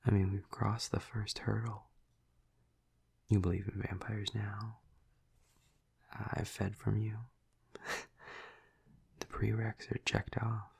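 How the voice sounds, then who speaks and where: A man playing a flirty, tender vampire lover in an intimate ASMR roleplay, half-whispering in a breathy, husky voice with hesitant, teasing murmurs. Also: lowish voice, level tone, takes it slowly, long pauses, a little mellow, exaggerated voice.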